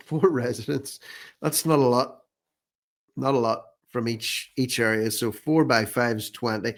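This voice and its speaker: An Irish man hosting informal political commentary in a sarcastic, scornful manner, often repeating phrases for emphasis. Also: medium pitch. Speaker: online commentator, host.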